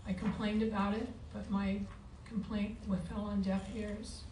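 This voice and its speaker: A woman giving a halting, unscripted answer as a candidate at a public forum, repeating words as she goes. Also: medium pitch, level tone, mellow. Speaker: school board candidate at a forum. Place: meeting board room. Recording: echoey board room, distant mic, background noise.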